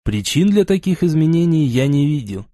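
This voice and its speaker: Mellow male audiobook narrator, dry studio sound. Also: on the high side, sing-song, moderate pace, no room sound. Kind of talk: scripted first-person fiction narration.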